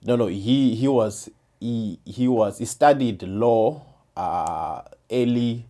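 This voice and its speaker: A man's voice: medium pitch, takes it slowly, warm tone. A man, a podcast guest chatting casually and often restarting and repeating words. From South Africa, KwaZulu-Natal. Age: 30s. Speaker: podcast guest, former defense attorney. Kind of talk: casual interview chat.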